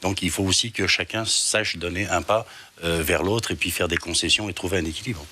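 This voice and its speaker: A man from Portugal, a studio guest, giving slow testimony full of hesitations and restarts. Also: deep voice, sharp tone. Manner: halting, many "euh" pauses and restarts. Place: television studio.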